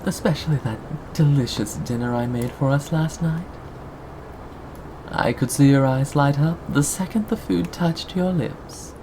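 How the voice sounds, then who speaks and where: Possessive inhuman captor, acted out, mid-pitched, very slow, sweetly menacing, teasing ASMR roleplay, clear background noise. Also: close to an everyday voice. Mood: wounded, condescending. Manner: slow, coaxing, teasing, with pauses and sighs. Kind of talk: ASMR roleplay, scripted and intimate.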